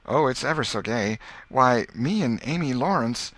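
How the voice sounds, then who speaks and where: Man playing lovestruck storybook schoolboy, raised pitch, eager and earnest, rustic old-time boyish talk, close dry sound. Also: animated, normal speed, fairly bright tone, no echo. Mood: eager, insistent. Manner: earnest, rustic nineteenth-century boyish speech. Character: eager, lovestruck American schoolboy, pleading and boastful. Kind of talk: audiobook character reading.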